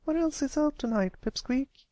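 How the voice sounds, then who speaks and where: Man putting on a squeaky, excitable little filly. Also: moderate pace, dry recording. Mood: curious, excited. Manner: squeaky, exclamatory. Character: excitable, impatient little filly cartoon pony.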